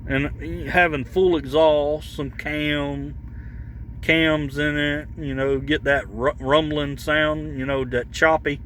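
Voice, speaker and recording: Male vlogger, unhurried and halting, casual off-the-cuff product chat with some vehicle noise behind him. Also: on the high side, steady tone, fairly bright tone. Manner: halting, rambling, searching for words. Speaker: vlogger showing his CB radio. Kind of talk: casual unscripted product talk.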